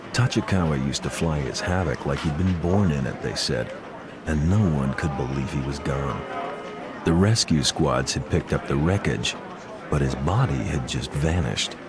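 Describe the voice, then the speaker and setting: A world-weary pilot, tells his story in an extremely deep voice. The delivery is terse, deadpan hardboiled game narration, brooding over loud music. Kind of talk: scripted first-person noir narration.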